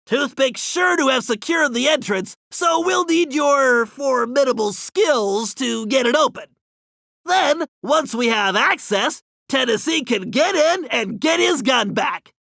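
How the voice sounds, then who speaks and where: A man voicing a nerdy, brainy and anxious turtle strategist in an animated video game, with a nasal voice and precise, earnest, wordy delivery. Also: extremely high pitch, a little slow, creaky, no echo, full character voice. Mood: explaining, planning.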